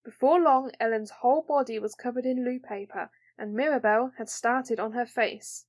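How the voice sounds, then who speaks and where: A woman's voice: fairly high pitch, normal speed. A woman reading a children's story as an audiobook narrator.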